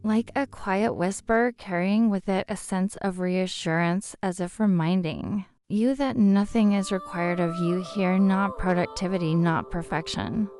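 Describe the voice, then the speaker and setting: A woman narrating a guided sleep story in a calm, soothing, unhurried manner. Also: medium pitch, no echo. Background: background music.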